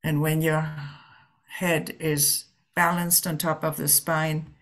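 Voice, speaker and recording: Female meditation guide, low register, unhurried and halting, gently offering instructions with plenty of space between phrases. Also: flat delivery. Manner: gentle, permissive, halting with long pauses. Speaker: Zen teacher leading a guided meditation.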